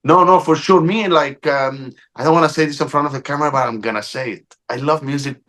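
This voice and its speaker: A man with an Egyptian accent interviewing a musician, enthusiastic and halting in his English. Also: on the high side, a bit bright. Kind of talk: casual interview.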